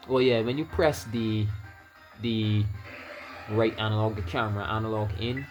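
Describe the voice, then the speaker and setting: A man's voice: on the low side, steady tone, normal speed, music in the background. Barbadian man rambling over gameplay. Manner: rambling, restarts words, laughs often. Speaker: gaming YouTuber. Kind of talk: casual gameplay commentary.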